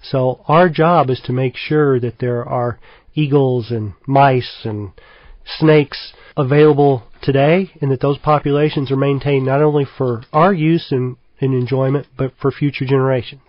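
A middle-aged man from Kentucky talks through his answers in a relaxed, thoughtful way, with plenty of fillers along the way. The recording is dry and slightly dull, like a small radio studio. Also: mid-range voice, moderate pace, no room sound. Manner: easygoing, thoughtful, lots of fillers. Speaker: wildlife biologist guest. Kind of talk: radio interview.

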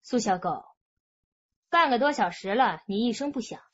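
A woman's voice: a little high, lively intonation, moderate pace, fairly bright tone, slightly rough, dull audio. An actress playing a stern, impatient young policewoman scolding in a radio drama interrogation style. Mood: stern impatience.

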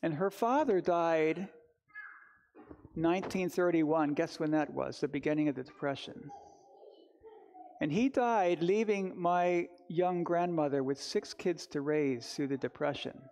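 A man's voice: fairly high pitch, a little slow, a little mellow. An older man preaching as a pastor, telling personal stories in a reflective, conversational way. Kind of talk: informal storytelling sermon. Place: church sanctuary, standing before the altar.

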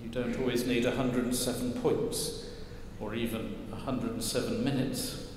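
An older man preaching a sermon from a church lectern, wry and gently humorous as he tells stories. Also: mid-range voice, little pitch movement, normal speed, a little hiss or hum. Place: large stone church, at a lectern. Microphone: lectern mic, far camera. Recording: echoey church with long reverb.

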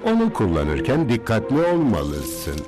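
A man's voice: fairly low pitch, wildly swooping pitch, unhurried, loud music behind the voice. A man voicing a greedy, wary, shady scientist in a dubbed cartoon, speaking in an anxious, cautioning way. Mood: cautioning, proud.

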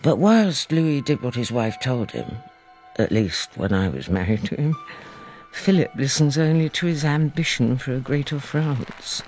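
A performer playing a worldly royal narrator with a dry, knowing manner in a historical audio drama. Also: male voice, mid-range voice, expressive, measured pace, darkish tone, faint music behind, natural voice, poor audio. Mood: wry.

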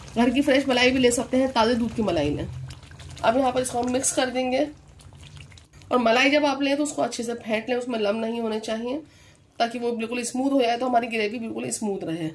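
Calm woman giving cooking steps. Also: fairly high pitch, a little hiss or hum. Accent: Hindustani, Delhi area. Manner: calm instructive, repeats "here" and "this way". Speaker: cooking channel host. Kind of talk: step-by-step recipe voice-over.

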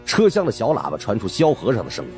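A man narrating a suspenseful audiobook story in an expressive, dramatic first-person style. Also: on the low side, fast talker. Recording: faint music behind the voice.

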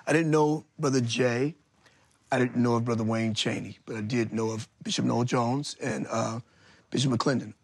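A man, a bishop, talking on a reunion-show panel, agreeable and quick to chime in with short remarks. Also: medium pitch, fairly even intonation, creaky, slightly rough, dry recording.

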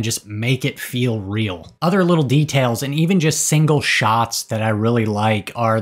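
A man in his thirties giving a casual, enthusiastic movie review to camera, with frequent fillers and restarts, recorded close on a desk mic in a small room. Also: medium pitch. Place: small indoor room with shelves of collectibles. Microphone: desk studio mic close in front.

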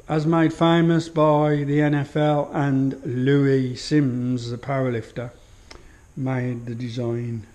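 A man giving a relaxed walkthrough of his home gym, admiring each piece of kit with many pauses and fillers, recorded on a handheld action camera in a small indoor room. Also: mid-range voice. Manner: unhurried, full of fillers, admiring. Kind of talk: casual walkthrough commentary. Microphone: action camera held while walking.

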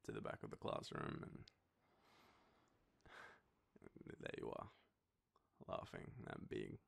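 A young Australian man playing an affectionate, nostalgic boyfriend in an intimate roleplay, talking to the listener in a halting, teasing way. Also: natural voice. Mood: fond, amused. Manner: hesitant, soft chuckles, halting pauses. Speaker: audio roleplay performer.

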